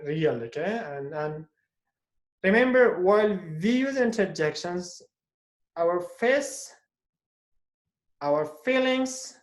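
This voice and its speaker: Man, English teacher, slow and deliberate, says "okay?" after every phrase, echoey room. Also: a little high. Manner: tags "okay?" after most phrases and acts out each interjection. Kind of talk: live online lesson. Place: home at night.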